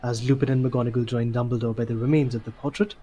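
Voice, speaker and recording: A man's voice: medium pitch, fairly even intonation, fast talker, dark tone, a little hiss or hum. A man reading scripted story narration for an audio drama.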